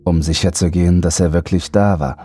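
Horror audiobook narrator, male, very low and dark mellow voice, faint music underneath. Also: steady tone, fairly quick. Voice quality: deep, husky, mellow. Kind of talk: scripted first-person horror narration.

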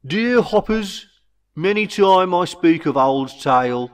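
A man voicing an old, wise alien chief in a storybook, with a Brummy accent and slow, broken, clipped English. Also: sits high, lively intonation, distant mic, pitched above the performer's own voice. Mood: solemn, rallying. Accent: Brummy (Birmingham). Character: old wise alien chief, small orange hopping creature. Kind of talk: storybook character voice.

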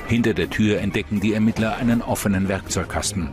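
A man's voice: lowish voice, quick pace, music in the background. A man narrating a true-crime documentary from a script, as its narrator.

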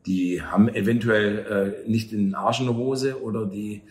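Male interviewee, forties, fairly low voice, colloquial and hesitant, echoey venue. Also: steady tone, measured pace. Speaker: actor and theatre director being interviewed. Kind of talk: conversational interview answers. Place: small cafe or bar table at night. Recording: echoey room.

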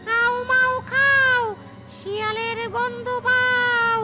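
A performer voicing a blustering, easily offended cartoon fox ghost with a squeaky, childlike voice and theatrical, whiny threats. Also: little pitch movement, slow pace. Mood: menacing, indignant. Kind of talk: animated cartoon dialogue. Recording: music behind the voice.

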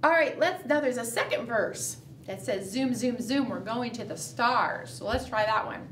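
A middle-aged woman leading a preschool storytime, animated and sing-song, coaxing small children with questions. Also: fairly high pitch, moderate pace. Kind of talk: storytime read-aloud and chat addressed to small children. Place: small indoor room, seated at a table. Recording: roomy, distant mic, faint room noise.

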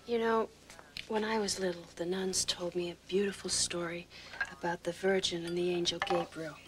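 An actress playing a mother telling her child a religious story in a hushed, confiding voice, heard in a film clip. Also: lowish voice, level tone, normal speed, close to an everyday voice. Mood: tender. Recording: film clip with faint background hiss.

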